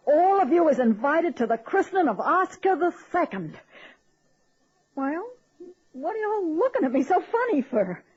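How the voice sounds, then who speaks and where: An actress playing a brash, kooky, uneducated woman with a Southern American accent in a radio comedy-drama, husky and sassy. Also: high voice, a little mellow, heavily acted. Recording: dull vintage radio recording.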